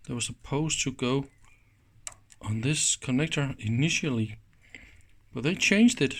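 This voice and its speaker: A man hosting a hands-on electronics teardown, thinking aloud in halting, pieced-together sentences as he examines things. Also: mid-range voice. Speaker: host of an electronics teardown channel.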